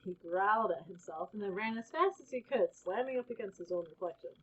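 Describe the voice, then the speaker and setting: A woman reading a children's storybook aloud in an expressive, animated way, with casual asides. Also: medium pitch, fairly quick, lo-fi sound. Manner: animated, acts out exclamations and characters' lines. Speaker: storybook reader.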